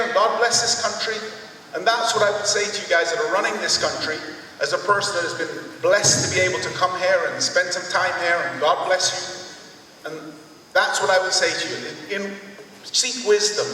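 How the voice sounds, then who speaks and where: A man's voice: high voice, bright, forceful tone, slight background noise. A man speaking up from the audience at a town hall, earnest and rambling, with a preachy turn. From Jamaica. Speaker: audience member. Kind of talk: public comment at a town hall. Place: large sports hall. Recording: echoey hall, heard from far off.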